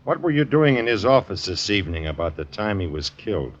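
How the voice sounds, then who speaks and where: Dogged police detective, dull old recording. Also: male voice, on the low side, big pitch swings. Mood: dry, insistent. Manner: plain-spoken, deliberate questioning. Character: dogged, dry male police detective, quietly sure. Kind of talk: 1950s radio drama acting.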